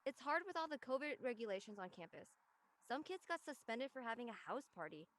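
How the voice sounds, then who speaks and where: A young actress playing an earnest, literal-minded, rule-abiding student in a drama. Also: female voice, on the high side, very animated, fairly quick, a bit bright. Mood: insistent. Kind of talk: acted dialogue in an audio-led play.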